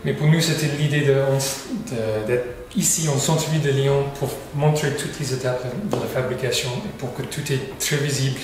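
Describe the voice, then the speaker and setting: A man from Scotland, a brewery co-founder, speaking halting French and searching for words. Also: mid-range voice, fairly even intonation. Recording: echoey room with background noise.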